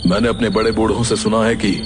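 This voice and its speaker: A man playing a wary, blunt villager in an animated horror story. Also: fairly low pitch, fast talker, loud music behind the voice, lightly acted. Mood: concerned.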